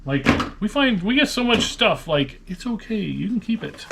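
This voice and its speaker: A man's voice: high-pitched, very animated, moderate pace, creaky. A man with an American Midwestern accent chats casually and jokingly, thinking aloud as he works. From Nebraska, United States. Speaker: vlog host, online reseller. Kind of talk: casual chat while sorting and pricing items. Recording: noisy room with clicks.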